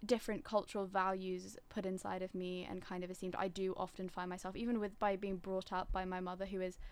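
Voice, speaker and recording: Young British-accented woman, very even intonation. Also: mid-range voice, fairly quick, clean tone. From Singapore. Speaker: discussion participant. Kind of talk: reflective casual conversation.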